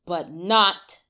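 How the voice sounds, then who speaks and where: Woman, children's story reader, lively swooping intonation, extremely unhurried, exaggerated, coaxing young listeners. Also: mid-range voice. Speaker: children's read-aloud teacher. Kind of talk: animated storybook read-aloud for young children. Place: small colorful classroom-like room, indoors.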